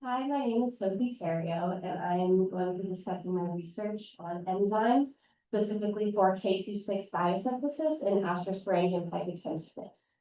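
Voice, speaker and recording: A woman's voice: mid-range voice, normal speed, mellow, a little hiss or hum. A young female undergraduate explains her research steadily, in a mid-range voice. She is heard in a roomy hall from some distance away, with faint murmuring behind her. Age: young adult. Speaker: undergraduate student presenter. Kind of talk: short research talk. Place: large campus hall.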